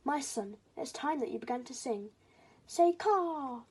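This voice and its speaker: A woman's voice: high-pitched, expressive, unhurried, a little mellow, breathy edge, exaggerated voice. A woman voicing an anxious, dramatic father crow in a storybook, exclaiming over his young son. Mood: urging. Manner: exclaiming, pleading.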